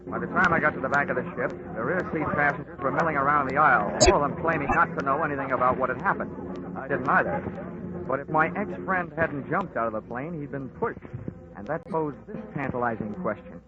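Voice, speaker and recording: A man's voice: medium pitch, fast, heavy background noise. A man playing a wisecracking insurance investigator who narrates a 1940s radio detective drama in a dry manner. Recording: lo-fi old radio recording with music.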